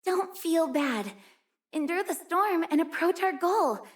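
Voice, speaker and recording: A woman voicing an earnest, gentle young female snow-rescue worker in a video game, speaking in a caring, polite manner. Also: very high voice, expressive, slow, exaggerated voice. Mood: encouraging. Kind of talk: video game character voice lines.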